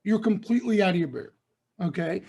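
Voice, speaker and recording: A man's voice: high-pitched, expressive, fairly quick, creaky, dark tone, breathy edge, dull audio. Blunt man checking "okay?" after every point. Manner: emphatic, punctuates nearly every point with "okay?" and "right?"; blunt street-wise slang. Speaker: trading room host. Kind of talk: live market commentary broadcast.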